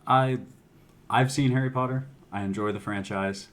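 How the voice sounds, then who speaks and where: A man's voice: mid-range voice, fairly even intonation, moderate pace. A man co-hosting a casual movie podcast, making brief, understated remarks. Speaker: podcast co-host.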